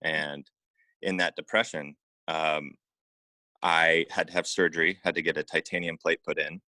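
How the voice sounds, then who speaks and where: A man from Oregon speaking as an interview guest, telling personal stories casually in an informal, halting way with repeated phrases. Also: a bass voice, level tone, sharp tone, no echo. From Oregon, United States. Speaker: interview guest, acupuncturist and massage therapist.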